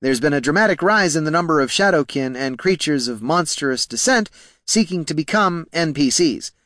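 A man plays a meek, anxious clerk from a fantasy storybook. The voice is pitched high and squeaky, with lively swings, and stays formal and eager to defer to superiors. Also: moderate pace, no room sound, studio quality. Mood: nervous, squeaky. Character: nervous, deferential male Scribkin financial clerk.

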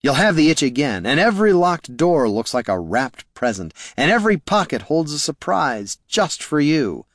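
A man's voice: a little high, very animated, moderate pace, few pauses, no echo, studio quality, voice pushed up. A man voicing a sly, wordy bard and reformed thief in a fantasy audiobook, slick, theatrical and self-justifying. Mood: wistful, dramatic.

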